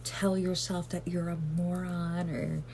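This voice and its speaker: Slow, low-voiced woman giving gentle advice. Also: little pitch movement, a little hiss or hum. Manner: rambling, gentle, self-deprecating with frequent fillers. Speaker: vlogger. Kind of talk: casual self-care advice to camera.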